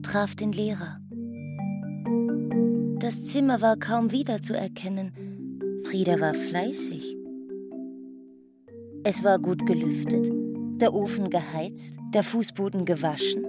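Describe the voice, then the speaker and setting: Woman narrator reading a novel slowly, loud xylophone-like music behind her. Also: medium pitch. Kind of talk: scripted literary narration. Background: prominent mallet percussion music.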